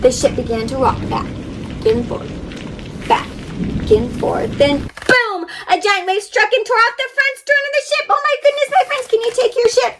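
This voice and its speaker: A woman reading a storybook aloud to young children with animated, wide-eyed expression, guiding them directly as she goes. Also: very high-pitched, normal speed, background noise. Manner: addresses young listeners directly with questions and sound effects. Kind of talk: interactive storybook reading and paper-folding instruction.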